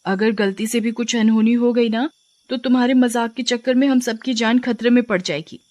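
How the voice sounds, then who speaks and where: A woman's voice: a little high, steady tone, normal speed, a little mellow, natural voice. A woman voicing a timid, frightened young woman in a Hindi audio story, anxious and pleading. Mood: anxious, scolding.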